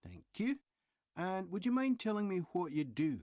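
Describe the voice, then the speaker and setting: An actor playing a polite train company representative asking survey questions in a scripted listening-test dialogue, clearly enunciated. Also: mid-range voice, sing-song, moderate pace, a little mellow, no room sound, lo-fi sound, lightly acted. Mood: polite, inquiring.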